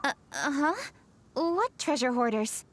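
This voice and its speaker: A woman's voice: sits high, animated, a little slow, heavy background noise. A woman voicing a diligent, courteous and composed young noblewoman in a video game, speaking politely. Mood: flustered. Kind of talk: English video game dub acting.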